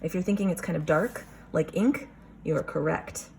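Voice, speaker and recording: A woman teacher reading a picture book aloud to young children, expressive, pausing to question and explain. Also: low-pitched, gravelly, slight background noise.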